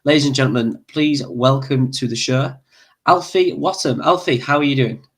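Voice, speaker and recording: A man's voice: medium pitch, normal speed. A man with a northern English accent hosting a podcast, introducing and questioning his guest in a friendly, encouraging way. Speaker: podcast host.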